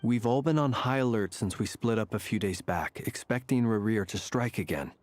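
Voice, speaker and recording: A man voices a composed young warrior from an anime game. He speaks in a fairly low voice, measured and grave, reasoning things through seriously. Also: darkish tone, close to an everyday voice. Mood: grave, concerned.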